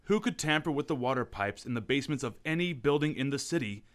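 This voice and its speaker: An actor playing a calm, thoughtful gentleman detective in a radio drama. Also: medium pitch, lightly acted. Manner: measured and thoughtful.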